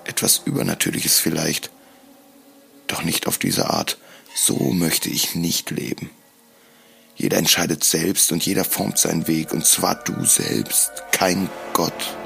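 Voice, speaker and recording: A man narrating a scripted first-person audio drama in a solemn, deliberate storytelling manner. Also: low-pitched, expressive, creaky, fairly bright tone, soft background music. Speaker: podcast host.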